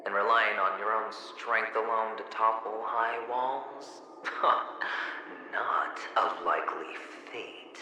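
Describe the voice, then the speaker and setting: A man's voice: fairly low pitch, slow, very echoey, long reverb tail, noisy background. An actor playing a smug young male gambler in a video game cutscene, taunting in a mocking, teasing drawl.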